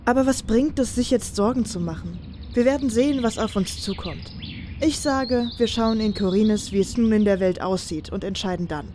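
A woman playing a caring, resolute young female companion and lover in an audio drama, speaking in soft, affectionate dialogue. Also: fairly high pitch, normal speed, noisy background, close to an everyday voice.

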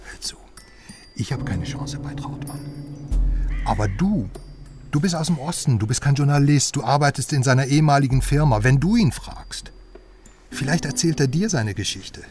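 A man's voice: medium pitch, animated, normal speed, darkish tone, recorded from a distance, soft background music, close to an everyday voice. A man playing a pushy, self-assured journalist in a radio crime drama, talking at length in a persuasive, self-important way. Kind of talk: naturalistic radio drama dialogue.

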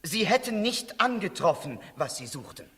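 A man's voice: sits high, big pitch swings, normal speed, fairly bright tone, full character voice. An actor playing a deferential servant who reports formally and anxiously to a king in a 1960s radio drama. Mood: anxious, dutiful. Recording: 1960s radio recording, long hall reverb.

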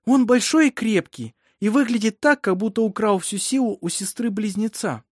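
Woman acting a brisk, commanding midwife, raised pitch. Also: lively intonation, a little mellow, husky, no room sound, clean studio sound. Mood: triumphant. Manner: emphatic, authoritative.

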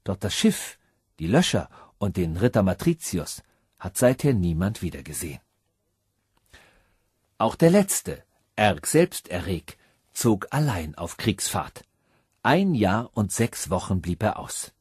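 Male audiobook narrator, husky tone, dry close sound, dramatic fairy-tale telling. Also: low voice, sing-song, slow pace, long pauses, a little mellow, no echo.

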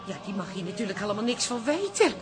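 An actress playing a chatty, fretful, easily impressed working-class wife in a radio comedy, exclamatory and naive. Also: female voice, mid-range voice, sing-song, quick pace, music in the background, close to an everyday voice. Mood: flustered. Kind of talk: Dutch radio comedy drama acting.

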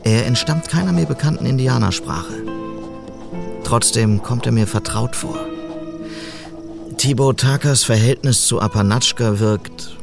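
An actor playing a dutiful western police officer in a German radio drama, gravelly, restrained and courteous. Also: male voice, mid-range voice. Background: music.

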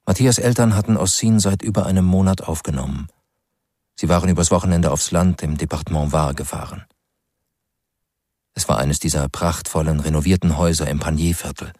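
A man narrating a scripted crime radio drama as a grave, sober storyteller with a measured delivery. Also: rumbling low, steady tone, a little mellow, dry recording, clean studio sound. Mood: grave, tense.

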